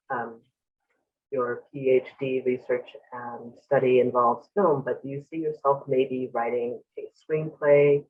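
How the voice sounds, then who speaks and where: A man hosts an author conversation, asking questions with stops and fillers. His voice is husky and heard from a distance. The sound is narrow and phone-like. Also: mid-range voice. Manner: warm-hearted, hesitant, with fillers. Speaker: interviewer, library event host. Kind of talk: hosting a conversation.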